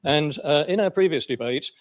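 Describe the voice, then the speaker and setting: A man's voice: a little high, animated, normal speed. An English man speaking formally as a councillor in a debate, in a matter-of-fact way. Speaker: county councillor.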